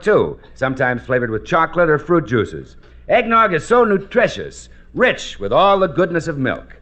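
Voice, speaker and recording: A man's voice: on the high side, fairly bright tone. An American man working as a scripted 1940s radio announcer and straight man.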